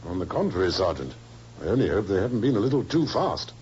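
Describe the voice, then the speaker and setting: An actor playing a brisk, dutiful provincial police superintendent in an old-time radio drama. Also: male voice, on the low side, very animated, a little mellow. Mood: wry, concerned. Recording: muffled, noisy old radio recording.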